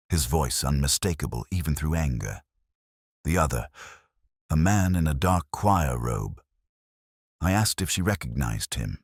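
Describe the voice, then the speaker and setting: A man playing a weary, razor-minded middle-aged police inspector, narrating his case in a measured, brooding, literary way, as in a detective audio drama. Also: very deep voice, darkish tone, husky, dry recording, studio quality, exaggerated voice.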